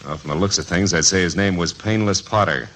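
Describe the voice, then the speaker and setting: A scheming outlaw boss in an old Western radio play. The voice is deep, commanding and conspiratorial, with faint noise behind it. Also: male voice, a little fast, fairly bright tone. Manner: conspiratorial, businesslike. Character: scheming, commanding Western gun-runner villain. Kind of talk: old-time radio acting.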